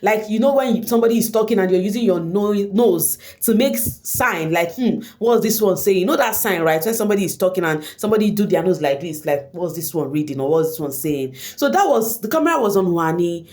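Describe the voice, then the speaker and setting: A woman's voice: mid-range voice, brisk, hardly any pauses. A woman with a Nigerian accent and Igbo as her first language, giving casual gossip commentary straight to her viewers and slipping in Pidgin slang. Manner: animated, addresses viewers directly, mixes in Pidgin slang. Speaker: online reality show commentator.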